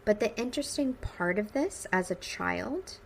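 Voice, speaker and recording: A woman's voice: medium pitch, animated, unhurried, creaky, slight background noise. An American woman in her forties hosting a personal podcast, speaking informally with upbeat emphasis. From United States, suburban Midwest. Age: 40s. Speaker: podcast host.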